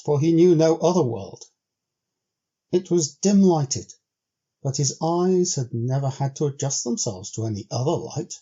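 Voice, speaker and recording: A man reading literary narration as a storyteller, in a measured, deliberately phrased, actorly way. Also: fairly high pitch, dark tone, highs cut off. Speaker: audiobook storyteller.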